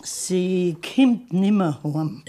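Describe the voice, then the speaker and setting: A woman's voice: on the low side, expressive, very slow, mellow. Plain-spoken Bavarian farm grandmother. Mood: matter-of-fact. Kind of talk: 1970s German radio play acting.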